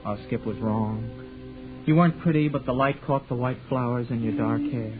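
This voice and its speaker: An actor voicing a hesitant, soft-spoken young man who narrates wistfully, in a tinny 1940s radio drama. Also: medium pitch, normal speed, lightly acted. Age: young adult. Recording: tinny 1940s radio broadcast with music behind.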